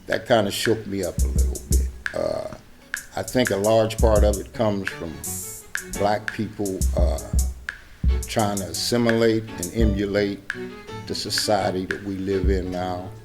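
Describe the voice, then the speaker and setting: A man in his sixties from Pittsburgh, giving halting, filler-heavy opinions in an interview. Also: sits low, lively intonation, vocal fry, music in the background. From Pittsburgh, Pennsylvania. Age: 60s. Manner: hesitant, halting with many fillers.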